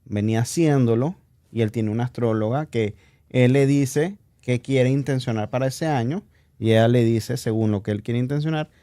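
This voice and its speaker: A man speaks as a guest in an informal, reflective chat. His tone is dark and relaxed at a medium pitch. The recording is dry and close. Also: moderate pace, no room sound. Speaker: podcast guest. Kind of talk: casual chat, personal reflection.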